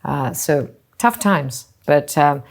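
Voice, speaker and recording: A middle-aged woman from Singapore, a company founder answering interview questions thoughtfully, often pausing with "you know" and restarting phrases. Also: low-pitched, animated. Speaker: hotel group co-founder and CEO.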